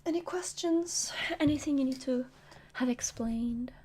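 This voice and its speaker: A woman's voice: high voice, measured pace, dark tone, breathy edge. A woman streamer chatting casually between comic readings, jokey and giggly.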